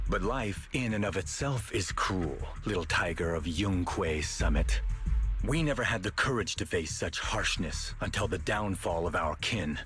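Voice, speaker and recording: A cold, cynical schemer from a video game, with a low voice. He talks in a dry, measured way and sounds condescending. Music sits faintly behind him. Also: a bit bright. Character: cynical, cold male tiger-clan schemer, condescending mastermind.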